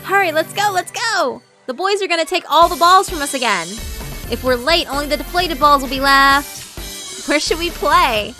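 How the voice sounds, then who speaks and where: A woman voicing an excitable, bubbly schoolgirl with an eager, high-spirited manner in a visual novel story. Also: normal speed, soft background music. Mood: excited.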